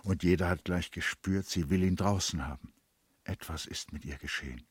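A man narrating a literary radio play in an expressive, deliberate, dramatic manner. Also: low voice, slightly rough, no room sound. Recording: faint background noise.

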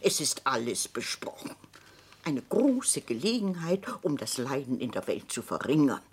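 A woman's voice: very deep voice, sing-song, normal speed, slight background noise. An actress playing an imperious, man-hating old consul's widow in a radio play, with a haughty, grandiose delivery. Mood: coldly resolute. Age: older.

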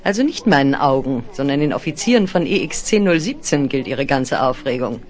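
An actress playing a tired, haughty, sharp-tongued career woman in a 1970s German radio play, dry and scornful. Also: rumbling low, lively intonation, brisk. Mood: weary, scornful.

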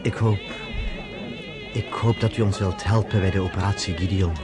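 A man's voice: sits low, fairly even intonation, moderate pace, loud music behind the voice, lightly acted. An actor playing an earnest, polite man explaining plans in a radio drama.

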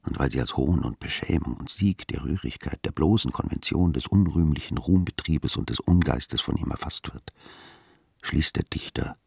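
A man playing a weary middle-aged writer, brooding and self-mocking, with a gravelly, breathy voice in a literary radio play. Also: rumbling low, moderate pace, a little mellow, dry recording, clean studio sound, exaggerated voice. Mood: bitter, brooding.

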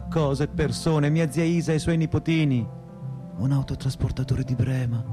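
A man's voice: mid-range voice, natural voice. An actor playing a frustrated, self-mocking perpetual student in his thirties in a theatrical, rhetorical radio monologue. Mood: mock-lyrical wonder. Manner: rhetorical, repetitive, shifting between lofty lyricism and crude bluntness.

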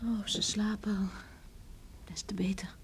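A young woman acting a spirited, secretive village girl in a Dutch radio drama, with breathy exclamations. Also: medium pitch, steady tone, unhurried, lightly acted. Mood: secretive, musing. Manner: breathy exclamations, murmuring to herself, protesting. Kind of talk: Dutch radio drama acting, murmured monologue and heated dialogue. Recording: radio play recording with faint hiss.

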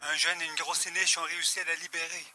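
A man's voice: on the high side, little pitch movement, quick pace, crowd noise, natural voice, low-quality recording. A man playing a villain's wary male henchman in an audio drama. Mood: sheepish.

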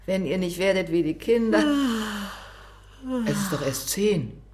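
A woman's voice: fairly low pitch, animated, a little slow, darkish tone, slight background noise. An actress playing a fussy older mother who chatters about chores and talks past her grown daughter in a radio play. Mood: chattering.

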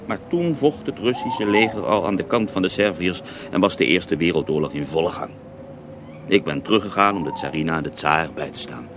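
A man's voice: low voice, expressive, brisk. An actor playing an earthy Russian holy man who tells his life story with theatrical relish in a radio drama. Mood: dramatic recounting. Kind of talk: radio drama acting in interview form. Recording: noisy older radio drama recording.

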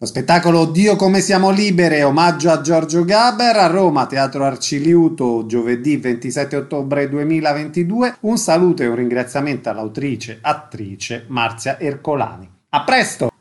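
A man's voice: a little high, expressive, normal speed, few pauses. A man hosting a radio interview, putting short questions and reading out announcements.

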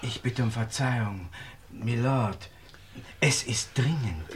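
An actor playing a deferential, very formal English manor servant in a 1950s radio play. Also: male voice, medium pitch, slow, lightly acted. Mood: apologetic, urgent. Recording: noisy old radio play recording.

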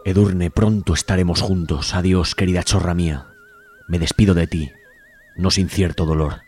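A man's voice: low-pitched, steady tone, moderate pace, darkish tone, soft background music. An actor playing a lovestruck, overwrought young man in a comic radio serial. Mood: mournful, solemn. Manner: overwrought, theatrical. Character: lovestruck, melodramatic young man, desperate to win his beloved.

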